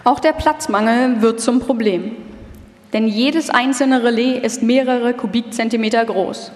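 Female documentary narrator, fairly high voice, live scripted read, long hall reverb. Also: fairly even intonation, unhurried, a little mellow.

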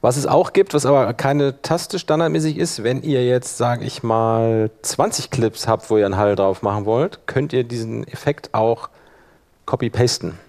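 Casual man teaching a software workshop. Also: medium pitch, moderate pace. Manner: casual, thinking aloud while demonstrating. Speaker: workshop instructor. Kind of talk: informal live software tutorial with demonstrations.

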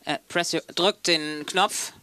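A man's voice: a little high, steady tone, slow, sharp tone, no echo. A man interpreting a conference panel live, in hesitant broken phrases with frequent self-corrections. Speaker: simultaneous interpreter.

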